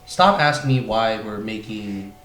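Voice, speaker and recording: A man's voice: fairly low pitch, unhurried, fairly bright tone. A man chatting casually as a podcast co-host, rambling and slangy, with some swearing. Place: room used for podcast, indoors. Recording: roomy echo, faint noise.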